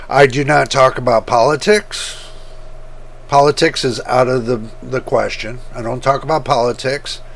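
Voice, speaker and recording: A man hosting his computer-building channel, talking casually and rambling, with a rough voice that repeats points as he goes. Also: mid-range voice, fairly bright tone. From United States. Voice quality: rough, gravelly voice. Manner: slow, rambling, repeats himself. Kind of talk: casual talk to camera.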